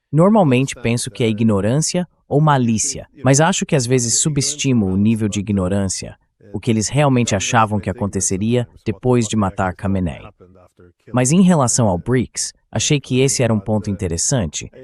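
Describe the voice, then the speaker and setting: A man's voice: medium pitch, a little mellow. A man with a Brazilian accent voicing a dubbed political interview as the host.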